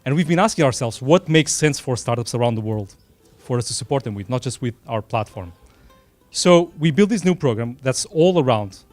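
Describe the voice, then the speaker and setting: A middle-aged man with a Portuguese accent speaks from the heart at a dinner event, earnest and unscripted, slipping in modest asides. His voice sits at an ordinary pitch for a man. The sound is dry and close, with faint music in the background. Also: no room sound. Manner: earnest, unscripted, frequent self-deprecating asides. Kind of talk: informal speech at a dinner event. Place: small room at a dinner event.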